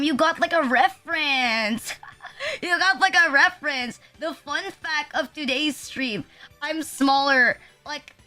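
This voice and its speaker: Bright, high-voiced young woman streamer. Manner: rambling, casually profane, self-deprecating. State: sniffly, stuffed nose. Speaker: VTuber streamer. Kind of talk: casual livestream chat.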